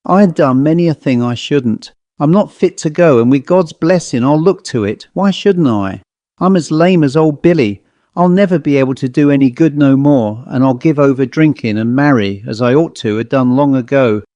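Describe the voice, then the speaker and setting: A man doing a rough, troubled old-fashioned squire who blusters in country speech. The voice sits at an ordinary pitch and sounds dry and close. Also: moderate pace, warm tone, dry recording, dull audio, close to an everyday voice. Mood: repentant. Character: gruff, guilt-ridden male country squire.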